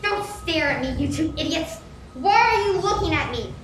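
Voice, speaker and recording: A woman's voice: very high voice, lively intonation, moderate pace, a bit bright. A woman playing a greedy, irritable lady mayor who barks scornful orders in a radio play. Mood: irritated, demanding. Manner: imperious, scornful. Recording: roomy echo with background noise.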